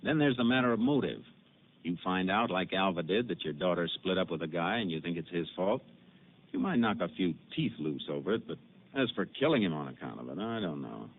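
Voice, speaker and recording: A steady lawman who questions people in a calm, clipped, matter-of-fact way. His voice is very deep. It comes over a thin, tinny old radio broadcast with a faint hiss. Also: a little fast. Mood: firm. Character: steady Texas Ranger investigator and narrator. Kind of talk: 1950s radio drama narration and acting.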